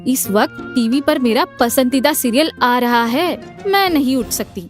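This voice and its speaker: A very high, whiny young daughter-in-law from a cartoon family tale, played by a woman. She is lazy and self-absorbed, brushing people off, with music under her lines. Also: unhurried. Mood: dismissive, irritated. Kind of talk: Hindi cartoon moral story dialogue.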